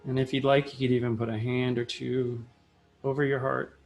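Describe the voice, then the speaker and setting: A man leading a guided meditation in a soothing style, speaking in short, measured phrases with long pauses. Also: medium pitch, little pitch movement, gravelly. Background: soft plucked guitar music.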